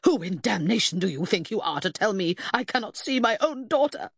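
Casting: haughty, grieving middle-aged mother, played by a female narrator, indignant and commanding, husky voice that climbs high, close dry sound. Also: very animated, fairly quick, no echo, heavily acted. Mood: enraged shouting. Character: grief-stricken, imperious, furious middle-aged mother. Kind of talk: audiobook character acting.

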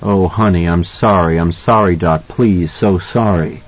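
A man's voice: low-pitched, measured pace. A man voicing an uneasy, remorseful father talking to his young son in an audiobook, muttering and placating. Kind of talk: audiobook character voice. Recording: slightly dull, lo-fi audio.

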